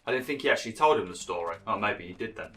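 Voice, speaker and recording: A young man doing chatty, rambling gameplay commentary, a little hoarse and nasal from being ill. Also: on the low side, lively intonation, racing, bright, forceful tone. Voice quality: slightly nasal, froggy throat. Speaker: gaming YouTuber.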